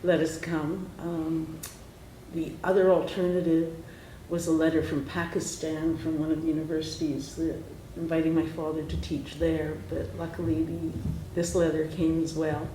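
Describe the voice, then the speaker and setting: A woman's voice: low-pitched, flat delivery, moderate pace, warm tone. A woman in her sixties from Hungary introducing herself at a roundtable, meandering and correcting herself as she goes. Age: 60s. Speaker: lawyer. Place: meeting room roundtable. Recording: echoey room, faint hiss.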